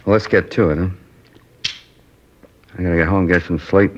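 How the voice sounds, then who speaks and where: A man's voice: low voice, fairly even intonation, fairly quick, a little hiss or hum, close to an everyday voice. A man playing a curt, defensive suspect. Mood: irritated. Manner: curt, sarcastic, evasive. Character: defensive, irritable male murder suspect. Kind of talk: 1950s radio drama acting. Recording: dull lo-fi 1950s radio recording.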